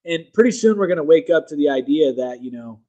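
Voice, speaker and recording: Guy ranting on his livestream, kinda high voice, keeps restarting sentences. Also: lively intonation, fast talker, dark tone, lo-fi sound. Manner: emphatic, rambling, self-correcting; reads articles aloud to viewers. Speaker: political livestream host. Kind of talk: casual opinion livestream.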